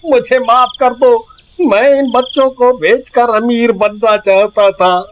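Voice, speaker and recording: A man voices a whiny village schemer from a cartoon tale. His pitch is extremely high and he speaks slowly, stretching out his pleas. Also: steady tone, slight background noise. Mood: wailing, panicked. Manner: wailing, pleading, drawn-out delivery. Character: scheming village man, pleading and whining when caught.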